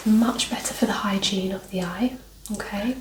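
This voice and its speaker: A woman speaking softly, often dropping into a whisper, gently giving instructions as a doctor in an ASMR medical exam roleplay. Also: mid-range voice, normal speed. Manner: soft, partly whispered, gently instructing, reassuring. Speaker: performer playing a doctor giving an eye exam. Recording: roomy, distant mic, faint noise.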